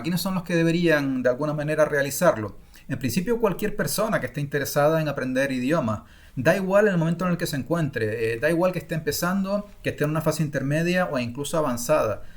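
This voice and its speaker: A middle-aged man from Spain gives an unscripted online masterclass on learning languages, often pausing with fillers and restarting his sentences. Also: fairly high pitch, animated. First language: Spanish. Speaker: language-learning course creator.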